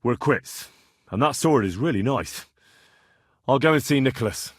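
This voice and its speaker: Game role, male actor: cheeky young swordsman in a medieval town. Husky, very animated, blunt bargainer. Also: mid-range voice, normal speed, no echo, clean studio sound, lightly acted. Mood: matter-of-fact. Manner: blunt, haggling, cocky. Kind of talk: video game character dialogue.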